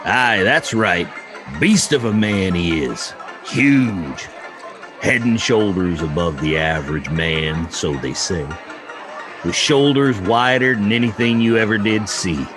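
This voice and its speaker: Man acting a gruff, slow old saloon storyteller. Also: lowish voice, animated, music in the background, pitched above the performer's own voice. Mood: relishing the tale. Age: older. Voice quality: hacking, wheezy old voice. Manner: folksy, gruff, drawn-out yarn-spinning. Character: cantankerous old storyteller in a Wild West saloon.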